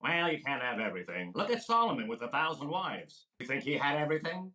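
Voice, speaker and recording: An actor playing a brash, fast-talking wisecracking comedian in a deadpan 1940s radio comedy style. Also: male voice, a little high.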